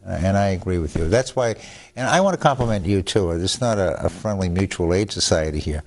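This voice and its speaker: A man's voice: sits low, animated, fairly quick. Rambling older man, chuckling lawyer. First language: Italian. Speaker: lawyer guest. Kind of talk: studio talk show interview.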